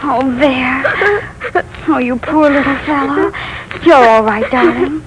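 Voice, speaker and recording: A woman's voice: high-pitched, sing-song, moderate pace, very noisy. An actress playing a fussy, haughty invalid wife who rules her home with tears, in a 1940s radio play. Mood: tender.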